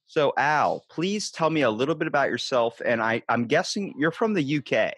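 A man's voice: medium pitch, expressive, moderate pace, a bit bright, no echo. A man hosting a podcast interview, loose, rambling and jokey in casual chat. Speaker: podcast host.

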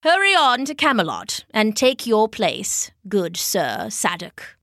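A woman's voice: medium pitch, huge pitch swings, takes it slowly, pushed and bright, no echo, clean studio sound, exaggerated voice. A woman voicing a wise old male druid wizard in a storybook, solemn and formal. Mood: kindly, stately. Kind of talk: storybook character acting.

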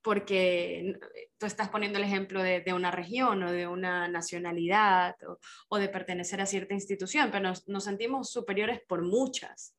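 A woman with a middle-pitched voice, chatting on a podcast. She agrees readily and speaks casually, with fillers and small restarts. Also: steady tone, normal speed, fairly bright tone. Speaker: podcast co-host. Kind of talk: reflective podcast conversation.

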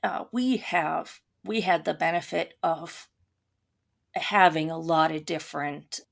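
A woman with a Minnesota accent talks on a podcast in a lowish, gravelly voice. She speaks thoughtfully, with frequent ums and restarts, like a guest in a relaxed conversation. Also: slow pace. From Minnesota, USA. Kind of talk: casual conversation.

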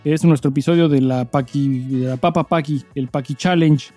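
A Mexican man with a fairly high, mellow voice co-hosts a casual podcast. He talks in a slangy, crude, joking way, recorded fairly dry with music underneath. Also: steady tone, normal speed, no echo. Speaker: podcast co-host. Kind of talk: casual chat and listener mail reading.

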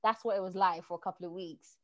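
A woman's voice: lowish voice, fast talker, highs cut off, a crowd in the background. A woman chatting casually and telling dating stories, acting out what people said. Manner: lively, acts out quotes. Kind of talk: casual chat, storytelling.

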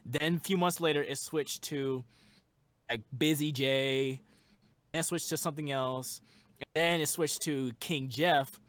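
A man's voice: on the high side, steady tone, unhurried, fairly bright tone, no echo. A young man who makes music, a guest in a casual interview, talking earnestly and rambling with lots of fillers.

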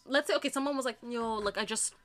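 A young woman co-hosting a casual podcast chat, talking in a dry, flat way with lots of "like" and frequent laughter. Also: a little high, fast talker. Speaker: podcast co-host.

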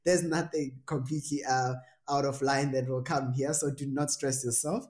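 A man's voice: mid-range voice, steady tone, normal speed, darkish tone. A young man hosting a podcast interview, thoughtful and rambling, with many fillers and restarts. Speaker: podcast host. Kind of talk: casual interview.

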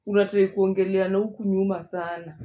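A woman's voice: medium pitch, flat delivery, quick pace, highs cut off. A young woman with a Kenyan accent co-hosting a podcast, teasing her co-host and laughing often as she switches between English and Swahili. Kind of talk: casual banter.

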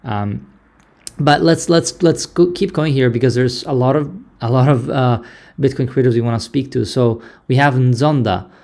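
A man's voice: mid-range voice, normal speed, creaky, darkish tone. A man hosting a live online audio discussion, speaking casually with many fillers and restarts.

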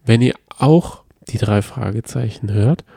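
A young man talks casually as a podcast co-host, his voice gravelly and dark. He teases in a playful, easygoing way. The sound is dry and close. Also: medium pitch, unhurried, no room sound. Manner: playful, teasing. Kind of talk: casual chat.

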